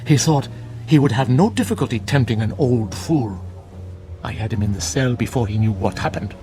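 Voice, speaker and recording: An actor playing a grave, fervent older monk in a radio drama, speaking in formal, measured, preachy phrases. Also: male voice, medium pitch, lively intonation, mellow, soft background music, natural voice. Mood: solemn storytelling. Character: solemn bearded older monk, fervent guardian of truth. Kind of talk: classic radio drama acting.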